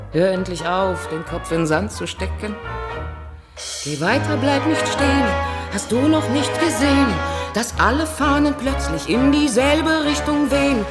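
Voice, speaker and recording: A woman performing as an ominous, urgent singer of warnings in a theatrical radio-drama song. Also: mid-range voice. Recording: loud orchestral music around the voice.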